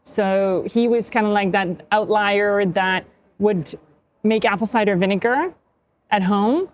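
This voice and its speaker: A woman's voice: medium pitch, a little slow. A woman in her fifties, from Hungary, a health practitioner telling her story candidly as a podcast guest, with plenty of fillers. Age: 50s. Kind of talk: conversational interview.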